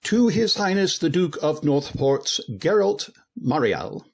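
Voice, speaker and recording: An actor playing a duke's haughty, loyal retainer in a fantasy radio drama, with a rough voice and formal, theatrical delivery. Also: male voice, fairly high pitch, very animated, slow, darkish tone, full character voice. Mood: grave, deferential.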